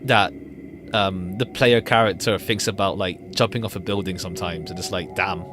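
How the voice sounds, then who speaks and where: A man's voice: sits low, fairly even intonation, normal speed, music in the background. A man streaming a visual novel, reading the game text aloud and adding casual commentary.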